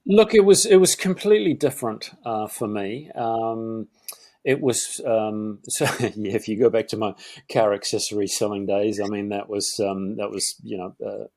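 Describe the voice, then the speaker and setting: A man's voice: lowish voice, moderate pace, vocal fry, darkish tone, no echo. Rambling middle-aged man with a faded Geordie accent. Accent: Geordie softened by years in New Zealand. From Northeast England. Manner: rambling with many fillers and restarts. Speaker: podcast guest. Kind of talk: conversational interview.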